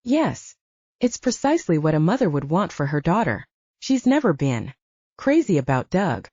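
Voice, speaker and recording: An anxious, wry young woman as acted by a female audiobook narrator, exasperated and affectionate. Her voice is mellow and swings widely in pitch, on a dull, dry recording. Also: big pitch swings, normal speed, breathy edge, no echo, heavily acted. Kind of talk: audiobook dialogue acting.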